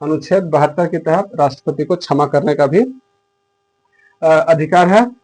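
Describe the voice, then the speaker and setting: A man's voice: a little high, normal speed, a little mellow. An Indian man teaching exam students in an explanatory slide lecture, repeating key phrases for emphasis.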